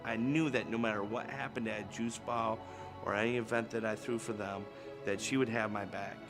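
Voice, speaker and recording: A man speaking slowly and emphatically in a tribute video interview. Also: lowish voice, fairly even intonation, over music.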